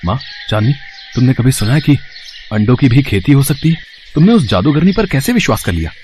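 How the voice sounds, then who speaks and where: A man as an earnest, animated young shopkeeper. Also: medium pitch, brisk, slight background noise, close to an everyday voice. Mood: doubtful. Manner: dramatic, earnest. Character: hardworking young egg seller, anxious, trusting son.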